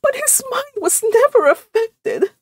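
Sobbing, high-pitched, crushed mother. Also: sing-song, unhurried, no room sound, clean studio sound, exaggerated voice. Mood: tearful, wounded. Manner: sobbing, wailing, moaning. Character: tearful, crushed, long-suffering older mother. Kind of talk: audiobook character voice.

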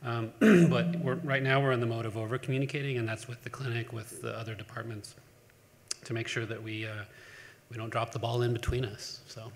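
A man on a conference panel, speaking haltingly with many fillers and restarts. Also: mid-range voice, little pitch movement. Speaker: panelist on cell therapy operations. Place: large conference hall with audience. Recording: reverberant hall, faint hum.

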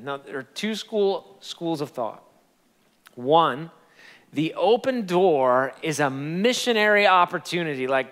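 High-voiced guy pastor preaching on a big church stage, lots of emphasis, long hall echo. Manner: animated, gesturing preacher with rising emphatic peaks. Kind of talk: sermon. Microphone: headset mic.

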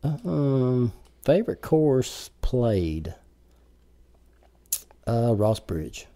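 A man's voice: mid-range voice, sing-song, drawn-out words, warm tone. A man chatting casually as a podcast guest, laid-back and plain-spoken. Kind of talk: casual chat about golf.

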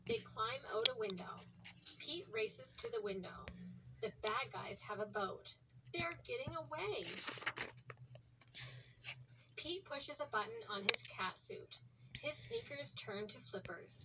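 A woman's voice: on the high side, animated, a little slow, lo-fi sound. A woman reading a children's picture book aloud as a read-along host, recorded at home on a camera. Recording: noisy, distant home recording.

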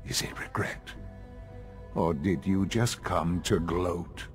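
An actor playing a bitter, dying older male scientist in a video game, weary and disdainful in a measured way. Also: deep voice, soft background music. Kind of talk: video game dialogue acting.

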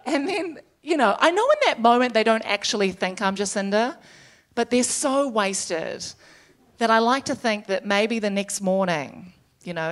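A young woman with a New Zealand accent telling a stand-up comedy story on stage into a handheld microphone, dry and self-deprecating. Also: medium pitch. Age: young adult. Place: theatre stage, indoors, live audience.

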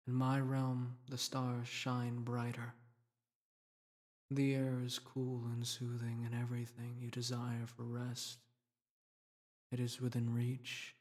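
Guy doing a solemn dream king for a sleep meditation, soft soothing voice, super slow, almost flat, gently protective. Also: mid-range voice, close to an everyday voice. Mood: soothing, gentle. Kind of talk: guided meditation, sleep-aid roleplay.

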